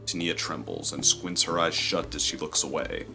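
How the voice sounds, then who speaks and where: A man's voice: rumbling low, moderate pace, vocal fry, music in the background. A man giving scripted narration of the action in an audio drama, as its narrator.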